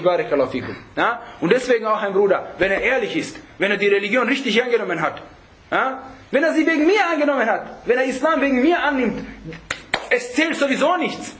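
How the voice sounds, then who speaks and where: A man's voice: extremely high pitch, background noise. A man preaching in an informal religious lesson, conversational and full of rhetorical check-backs to his listeners, with Arabic phrases mixed in. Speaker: Islamic preacher teaching a group.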